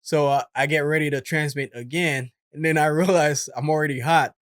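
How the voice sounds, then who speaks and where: A man's voice: fairly high pitch, steady tone, a little fast, fairly bright tone, no echo, studio quality. A man giving casual, conversational explanatory commentary as a host, in an informal manner. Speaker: host, former military air traffic controller.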